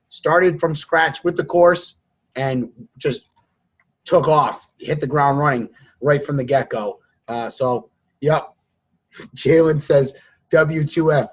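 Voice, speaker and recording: A hoarse man with laryngitis hosting a live group call, coaching students in a blunt, lecturing way. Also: a little high, slow. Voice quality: husky, strained. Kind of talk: casual coaching chat. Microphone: online call. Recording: dull lo-fi sound.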